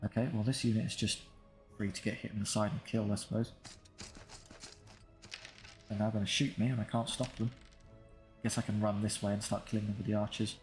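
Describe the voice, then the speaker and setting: Low-voiced man casually commentating a strategy game. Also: level tone, a little fast, pauses often, soft background music. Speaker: gaming video creator commentating his own playthrough.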